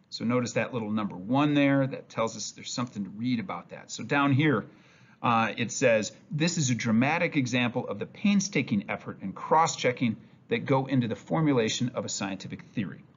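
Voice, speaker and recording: A man reading a textbook aloud as a teacher, deliberate and emphatic, with occasional stumbles and a husky voice. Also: medium pitch. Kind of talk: read-aloud lesson with brief asides. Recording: dull lo-fi sound.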